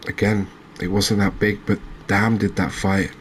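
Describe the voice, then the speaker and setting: A man giving relaxed ASMR commentary over a fishing video game, chatting to viewers and often checking in with tag questions. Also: fairly low pitch, measured pace, a little hiss or hum. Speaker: gaming video host.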